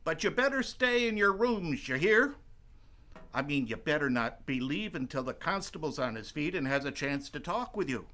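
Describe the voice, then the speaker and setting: An actor playing an old country doctor in a radio play, folksy and wary, with halting, hemming speech. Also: male voice, a little high, very animated, pushed and bright. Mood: suspicious, stern.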